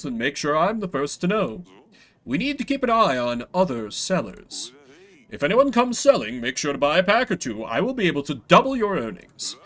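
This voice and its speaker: A man voicing a scheming noble lord in a video game, with a smooth-talking, persuasive sales manner. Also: on the high side, fairly bright tone. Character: scheming noble lord pitching a shady drug trade.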